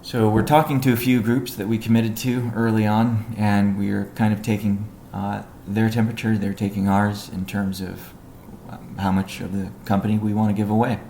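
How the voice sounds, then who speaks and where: A man giving a business briefing on a conference call as a company executive, in a measured, halting way with technical jargon. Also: fairly low pitch, little pitch movement, vocal fry, warm tone. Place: conference call, echoey room. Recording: echoey room, faint background noise.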